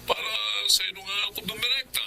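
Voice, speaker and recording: A man's voice: sits high, quick pace, gravelly, a crowd in the background, low-quality recording. A man playing a pushy, shameless middle-aged Filipino uncle in a radio drama, insistent and blustering.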